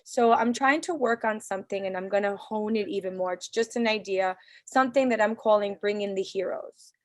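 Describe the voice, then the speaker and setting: A woman's voice: medium pitch, a little fast, no echo, studio quality. A woman from New City, leading a parent council meeting over a video call in a casual, earnest way with frequent restarts. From New York City. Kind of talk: virtual community meeting talk.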